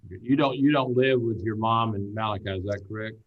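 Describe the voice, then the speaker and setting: A male judge presiding over a hearing on a video call, measured and procedural, with "uh" hesitations. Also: fairly low pitch. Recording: dull lo-fi call audio.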